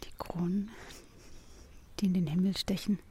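A hushed, breathy speaker listing impressions of the surroundings in short poetic fragments. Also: medium pitch, level tone, moderate pace. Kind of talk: spoken-word listing of what is seen.

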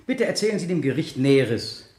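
A man's voice: a little high, big pitch swings, a little fast, darkish tone. A man playing a formal courtroom examiner in a radio drama, putting short, methodical questions. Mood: formal, probing. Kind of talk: radio play courtroom dialogue. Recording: roomy 1970 radio drama studio.

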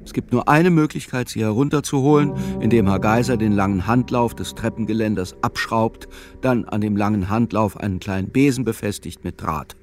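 A man playing an unhurried narrator in a literary radio play, with a gravelly voice and deliberate telling. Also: fairly low pitch, soft background music, natural voice. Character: unhurried male narrator following an old man's thoughts.

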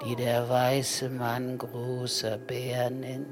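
A man narrating a poetic radio drama in a solemn, deliberate way. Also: medium pitch, completely flat delivery. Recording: music behind the voice. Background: music.

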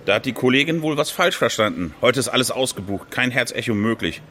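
A brisk male nurse in a radio play, offhand, lively pitch, faint ambience noise. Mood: brisk, dismissive. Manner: animated, casually dismissive. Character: brisk, matter-of-fact male hospital nurse. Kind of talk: radio drama dialogue.

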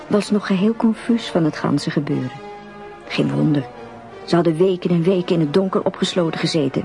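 A woman's voice: deep voice, creaky, a little mellow. A woman narrating a fairy-tale radio drama as a scripted storyteller. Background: music.

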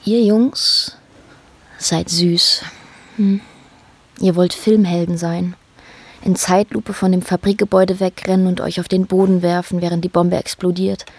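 Lowish female voice, worldly confidante, cool, mocking, radio drama dialogue. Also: little pitch movement, unhurried, darkish tone, breathy edge.

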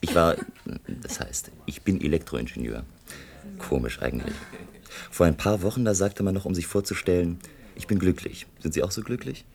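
An actor playing a curious electrical engineer in a German science-fiction radio drama, speaking in a natural, conversational, slightly teasing way. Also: male voice, low voice, lively intonation, normal speed, creaky, a little mellow, faint music behind. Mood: pensive, curious. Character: Paul Barnett, curious electrical engineer in a future conglomerate.

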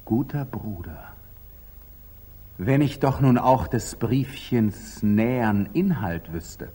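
An actor playing a proud, curt, sardonic knight templar in a theatrical 1940s German radio drama. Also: male voice, medium pitch, lively intonation, takes it slowly, dark tone, breathy edge. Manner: clipped, theatrical, wry.

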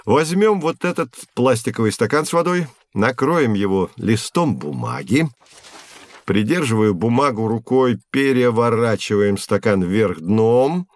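An actor playing a patient professor who explains science to children didactically in an educational audio drama. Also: male voice, mid-range voice, sing-song, measured pace, no echo. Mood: confident, playful. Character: patient male professor teaching children with hands-on experiments.

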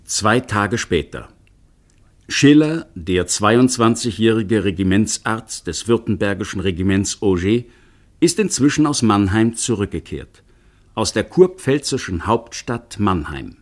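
A man with a low voice narrates an old radio play, measured and descriptive in his telling. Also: expressive. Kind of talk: scripted radio drama narration.

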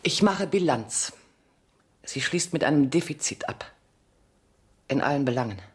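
An actress playing a seductive, world-weary, proudly fatalistic condemned spy in a German radio play, speaking theatrically and dropping into murmurs. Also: female voice, low-pitched, expressive, unhurried, husky, full character voice. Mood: wistful, resigned.

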